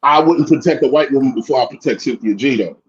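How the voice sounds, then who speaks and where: Man, fired up, rambling, mid-pitched, muffled lo-fi call. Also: quick pace. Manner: fired up, rambling, repeats "you know what I mean". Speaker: guest caller. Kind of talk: heated casual commentary.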